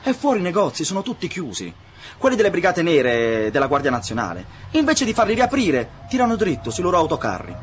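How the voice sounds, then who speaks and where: An alarmed police brigadier in a radio drama. His highish, lively voice reports urgently and quickly. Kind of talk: Italian radio drama acting. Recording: noisy, with vehicle ambience.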